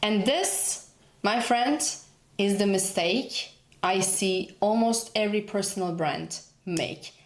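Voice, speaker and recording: Woman, thirties, Turkish accent, unhurried and emphatic, echoey room. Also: medium pitch. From Turkey. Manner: earnest, emphatic, repeats for emphasis. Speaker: personal branding coach. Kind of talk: motivational talk to camera.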